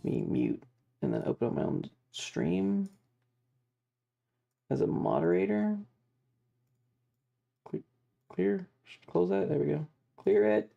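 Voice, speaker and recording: Creaky voice, lively swooping pitch, mid register, casual sweary livestream chat, music underneath. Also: slow, long pauses, muffled recording. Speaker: livestream host. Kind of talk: casual gaming stream chat.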